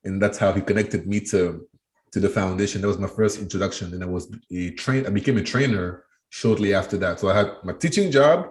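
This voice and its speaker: A man's voice: sits low, a little fast. A man in his thirties from Cameroon, answering interview questions thoughtfully, often restarting and repeating words as he thinks. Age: 30s. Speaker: guest, education trainer. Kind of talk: interview conversation.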